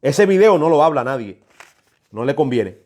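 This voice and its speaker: A man's voice: on the high side, big pitch swings, normal speed, a little mellow. A man preaching a prophetic message, emphatic and admonishing, often repeating his phrases for weight. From New York, United States. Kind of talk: prophetic sermon, exhortation.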